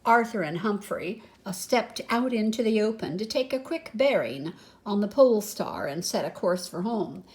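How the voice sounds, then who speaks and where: An older woman reading a picture book aloud as a grandmother, expressive and unhurried, with some hesitation. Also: medium pitch. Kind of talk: storybook read-aloud with soft asides.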